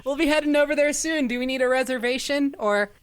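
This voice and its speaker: A woman's voice: sits high, quick pace, heavy background noise, lightly acted. A woman playing an eager, goofy, chatty adventurer in an improvised comedy audio drama, rambling and hesitant in a giggly way. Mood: upbeat, casual.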